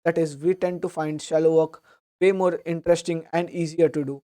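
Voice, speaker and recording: A young man, slightly hoarse from a sore throat, explaining a book summary in a steady, earnest way. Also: on the high side, normal speed.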